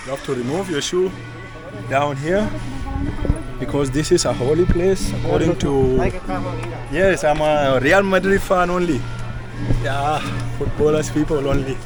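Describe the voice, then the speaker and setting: Chatty young vlogger. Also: male voice, a little high, unhurried, pauses often, over loud music. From South Sudan. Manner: chatty, keeps addressing viewers as guys. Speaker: travel vlogger. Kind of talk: casual travel vlog. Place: outdoors at a busy temple site. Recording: street noise outdoors.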